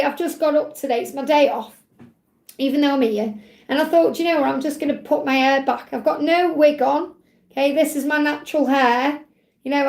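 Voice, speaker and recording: A woman, a tarot reader hosting a live Q&A, talking in a chatty, reassuring way while her voice grows croaky. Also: high voice, darkish tone, reverberant. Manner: chatty, reassuring, repeats phrases, ends points with "okay?". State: voice getting croaky. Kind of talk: live-stream tarot reading, reading out chat messages.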